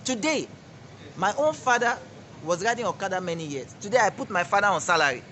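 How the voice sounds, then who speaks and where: A young Nigerian man with a Nigerian accent tells his success story as a network marketing director, pressing his points in an emphatic, preachy way. His voice sits high and rings bright and forceful. A faint hiss runs underneath. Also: expressive, fairly quick. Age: 20s. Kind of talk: testimony interview.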